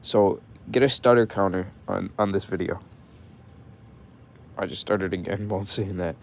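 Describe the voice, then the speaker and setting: A young man with a fairly low voice rants to his audience in a loose, profane vlog. He keeps stuttering and tacking on "bro" as he works himself up. A light bed of background noise sits under his close, casual delivery. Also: normal speed, creaky. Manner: heated, profane, says bro a lot, stutters when mad. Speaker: vlogger. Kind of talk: casual ranting monologue.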